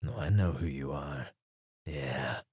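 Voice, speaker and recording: A man playing a menacing, teasing kidnapper in an ASMR roleplay, drawling his taunts and dropping into hushed murmurs close to the microphone. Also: very deep voice, slow pace, slightly rough, dry recording, full character voice. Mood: cool, threatening. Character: menacing, teasing male kidnapper interrogating a captive. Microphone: close studio microphone.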